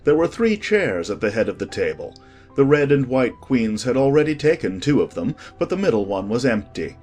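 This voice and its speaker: An audiobook narrator reading a children's story in a measured, expressive scripted style. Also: medium pitch, faint music behind.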